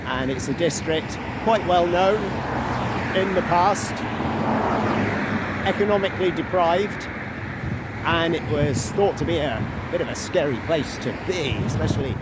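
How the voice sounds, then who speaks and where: A man walking through a city, narrating a travel vlog in a chatty, unhurried way with asides. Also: on the high side. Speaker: travel vlogger. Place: outdoors on city streets by a river. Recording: noisy outdoor street recording. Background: loud traffic and city noise.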